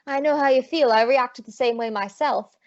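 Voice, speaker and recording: A woman's voice: sits high, normal speed, no room sound. A woman playing a sassy, breezy lady detective with wry quips in an old-time radio drama. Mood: playful. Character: sassy, wisecracking lady private detective, confident and flirtatious. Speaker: detective lead and narrator. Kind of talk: old-time radio detective acting and first-person narration. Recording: dull lo-fi audio.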